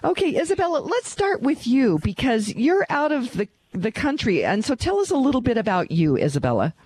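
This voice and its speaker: A woman's voice: a little high, big pitch swings, a little fast, darkish tone. A woman hosting her own talk radio show. She is earnest and emphatic and repeats her key phrases.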